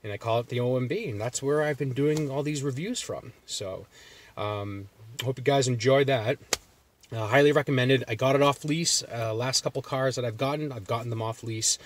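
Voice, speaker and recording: Casual man with a Boston accent, mid-pitched voice, talking from a parked car in a loose, rambling vlog style. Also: normal speed, a little hiss or hum. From Massachusetts. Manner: rambling, loose, with many fillers and restarts. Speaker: jerky reviewer.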